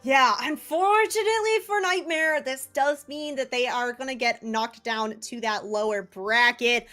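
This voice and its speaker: A woman with a high voice gives live analysis as an esports colour commentator. Faint music plays behind her. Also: unhurried, fairly bright tone.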